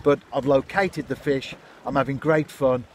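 A man's voice: mid-range voice, fairly even intonation, moderate pace, a little mellow, a little hiss or hum. A man in his fifties, filming himself on seaside rocks with a phone at arm's length, chatting unhurriedly to his viewers about his day. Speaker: fishing vlogger. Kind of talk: casual outdoor narration to camera. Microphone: phone camera at arm's length.

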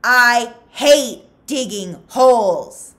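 A woman voicing a quiet, stubborn boy at a work camp in a storybook, terse and halting. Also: on the high side, lively intonation, voice pushed up, exaggerated voice. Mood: defiant.

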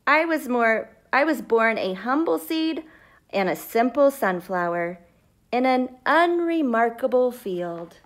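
A grumpy little sunflower seed from a picture book, voiced by a woman reading aloud. The delivery is very slow and emphatic, defiantly stretching words, in a slightly high register. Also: expressive. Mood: wistful. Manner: emphatic, drawn-out storybook delivery.

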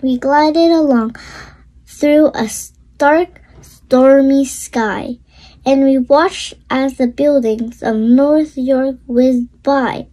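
Slow, high-pitched child reading a storybook. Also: mellow, rough recording. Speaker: storybook reader. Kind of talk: expressive read-aloud of a rhyming children's storybook.

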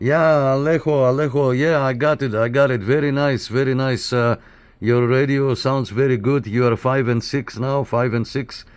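A man's voice: mid-range voice, steady tone, normal speed, a little hiss or hum. A man making casual CB radio contact, friendly and often repeating his phrases. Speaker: CB radio operator and video maker. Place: indoor room at a radio desk.

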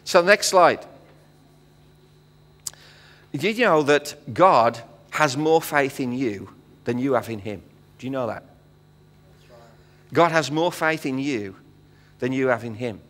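A man's voice: mid-range voice, lively intonation, slow, long pauses, fairly bright tone. Guy preaching, casual and jokey, lots of uhs, reverby church hall. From England. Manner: conversational preaching with fillers and quips. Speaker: visiting pastor. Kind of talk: sermon. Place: church hall stage with audience.

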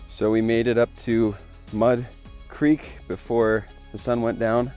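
An American man in his thirties narrating a casual fishing vlog outdoors on a frozen lake, filmed on a handheld phone and at times hushed to a murmur. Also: mid-range voice, little pitch movement, takes it slowly, soft background music. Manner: easygoing, drops to a hushed murmur while landing a fish. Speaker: fishing vlogger. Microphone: handheld phone camera.

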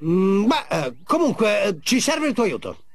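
An anxious talking mask from a cartoon game. He is flustered and pleading, with pitch leaping all over. The sound is very dry. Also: wildly swooping pitch, moderate pace, creaky, no room sound, exaggerated voice. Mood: awkward. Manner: hesitant, flustered. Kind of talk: Italian cartoon dub for a video game.

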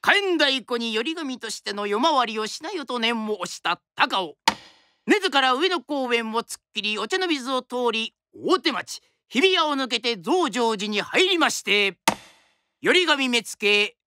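A woman's voice: on the high side, very animated, measured pace, bright, forceful tone, no echo, exaggerated voice. A woman playing a theatrical traditional storyteller, narrating a drama in a formal, declamatory way. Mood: wry. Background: music.

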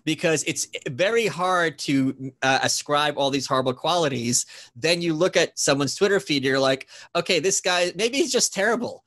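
Man, 30s, slightly high voice, lively host on a video call. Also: moderate pace. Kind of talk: casual video-call interview. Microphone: video call, earbuds.

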